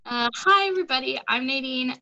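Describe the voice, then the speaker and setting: A woman's voice: high voice, lively intonation, a little fast, gravelly, pushed and bright, highs cut off. A teenage girl from the United States introducing herself as a guest on a video call, hesitant and a little shy. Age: teens. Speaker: panel guest. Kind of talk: casual self-introduction.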